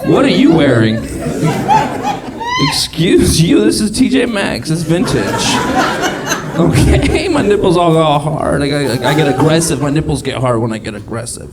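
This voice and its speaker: Male club comic, awkward and self-deprecating crowd-worker, a little high-voiced, with a big audience behind him. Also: very animated, normal speed. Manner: awkward, self-mocking, teasing the crowd, lots of swearing. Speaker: stand-up comedian. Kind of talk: stand-up set with crowd work. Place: comedy club stage. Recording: live club set with loud crowd. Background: audience laughter.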